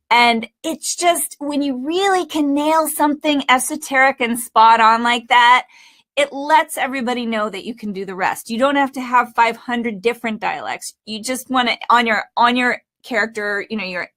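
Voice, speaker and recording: A woman hosting as a voice-over coach, teaching casually and informally with animated emphasis. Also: a little high.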